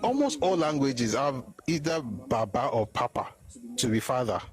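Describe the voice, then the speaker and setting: A middle-aged man with a Nigerian Yoruba accent holds forth in an opinionated commentary. He talks emphatically at a mid pitch, wandering into asides and digressions. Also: animated, normal speed.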